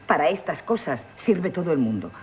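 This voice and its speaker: An actress with a Castilian accent playing a bold, scheming actress who poses as a haughty countess in a theatrical radio comedy. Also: female voice, low-pitched, big pitch swings, normal speed, darkish tone. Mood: persuasive, urging. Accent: Castilian Spanish. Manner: theatrical, persuasive, swooping delivery. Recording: 1970s radio recording with noise.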